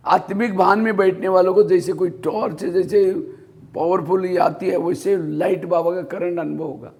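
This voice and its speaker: A man's voice: high-pitched, steady tone, moderate pace, darkish tone. A man giving a spiritual class, telling anecdotes and asking rhetorical questions that he answers himself. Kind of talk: Hindi spiritual discourse.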